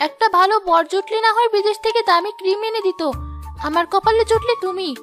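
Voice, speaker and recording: A woman's voice: very high voice, fairly quick, fairly bright tone, soft background music. A woman voicing a vain, melodramatic young village wife in a cartoon moral story, complaining in a whiny, dramatic way. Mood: resentful, sulky.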